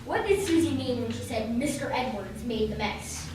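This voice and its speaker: A woman's voice: fairly high pitch, animated, moderate pace, lightly acted. A woman playing a polite, methodical male detective in an amateur radio play. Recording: very echoey room, distant mic, faint hiss.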